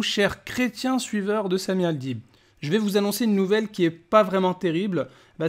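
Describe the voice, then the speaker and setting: A man hosting a polemical commentary, talking in a mocking, combative and emphatic way. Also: sits high. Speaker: YouTube host.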